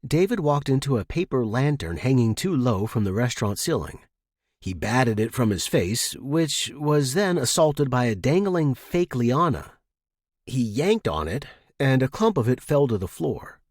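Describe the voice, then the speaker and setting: A man's voice: mid-range voice, big pitch swings, a little slow, a little mellow, no echo. Man narrating fiction, dry close sound.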